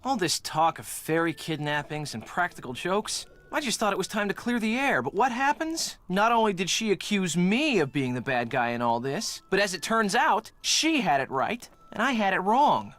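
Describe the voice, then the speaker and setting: A man's voice: high-pitched, big pitch swings, normal speed, few pauses, fairly bright tone. An actor playing a frustrated, lovelorn young man in a video game, earnest and defensive. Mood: rueful.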